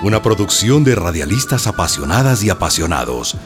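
A man's voice: deep voice, sing-song, fairly quick. A man announcing the end of a radio drama episode. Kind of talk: program closing announcement. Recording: announcement over flute music.

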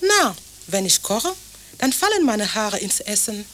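A woman's voice: mid-range voice, big pitch swings, unhurried, fairly bright tone, slight background noise. An actress playing a direct, exasperated young woman in a radio play. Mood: wry. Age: young adult. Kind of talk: German radio play dialogue.

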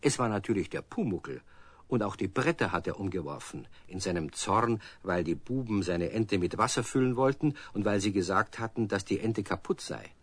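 A man's voice: on the low side, lively intonation, moderate pace, husky, dry recording. A man narrating a children's radio play as a scripted storyteller.

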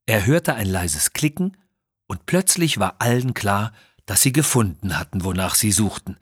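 A man's voice: lowish voice, lively intonation, moderate pace, husky, no echo, clean studio sound. A man narrating a children's adventure story in a scripted, dramatic style. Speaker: audiobook narrator.

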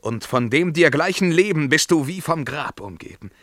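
An actor playing a tormented, world-weary emperor in a German verse radio drama, declaiming between outcries and hushed murmurs. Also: male voice, on the high side, animated, moderate pace, dry recording, clean studio sound. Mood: urgent, admonishing.

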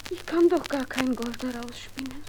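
A woman playing a timid, despairing young miller's daughter in a fairy-tale radio play, meek, halting and plaintive. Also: sits high, noisy background, close to an everyday voice.

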